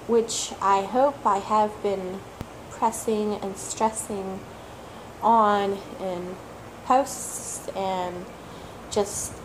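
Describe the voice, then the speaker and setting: A woman for whom English is a third language, talking slowly and reflectively as a tarot reader, repeating words for emphasis and sometimes searching for them. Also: medium pitch, fairly even intonation, noisy background. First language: not English; English is her third language. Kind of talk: slow reflective monologue.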